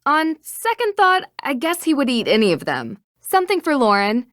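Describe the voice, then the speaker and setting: Kind young detective in a video game, a woman with a fairly high, animated voice. She sounds calm and encouraging, thinking aloud in a dry, close recording. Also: moderate pace, no echo, exaggerated voice. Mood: cheerful musing.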